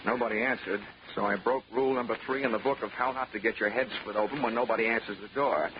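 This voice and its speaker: A man's voice: fairly low pitch, fairly even intonation, fast, background noise. A man narrating as a hard-boiled insurance investigator in 1940s radio drama, wisecracking in a dry, clipped manner. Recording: dull old radio recording with music.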